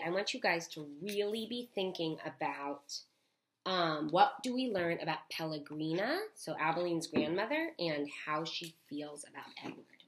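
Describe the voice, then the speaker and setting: A woman schoolteacher reads a children's book aloud in a fairly low voice. Her narration is expressive, and she steps out of it to guide young listeners with simple questions. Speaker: elementary school teacher.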